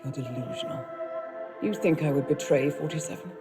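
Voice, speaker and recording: A woman's voice: low voice, brisk, music in the background, lightly acted. A woman playing a poised, cold, defiant intelligence handler in a video game, curt and disdainful. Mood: cold defiance. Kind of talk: cinematic video game acting.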